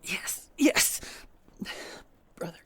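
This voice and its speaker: A woman playing a submissive younger vampire sibling in an audio drama, with a husky, breathy, hesitant voice. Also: extremely slow. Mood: frightened, submissive. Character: cowed, pleading vampire younger sibling, resentful. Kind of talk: dramatic audio roleplay acting.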